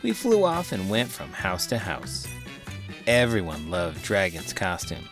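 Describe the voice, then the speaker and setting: A man with a low voice hosts storytime for children. His delivery swings widely in pitch, playful and expressive, with music playing behind him. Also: measured pace. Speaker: host of a children's read-aloud channel. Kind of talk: lively children's storytelling and chat.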